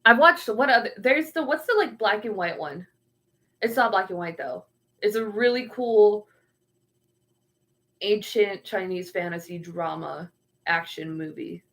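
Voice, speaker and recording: A woman's voice: mid-range voice, measured pace, long pauses, a bit bright. Young female streamer, chatty and meandering, reads chat aloud. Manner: rambling, trails off searching for words. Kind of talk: casual livestream chat.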